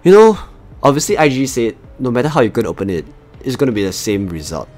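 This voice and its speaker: A man's voice: mid-range voice, big pitch swings, fast, darkish tone, faint music behind. A man from Singapore with a Singaporean accent, chatting casually to viewers as he hosts a live game stream. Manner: chatty, talks to viewers as he plays. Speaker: livestream host.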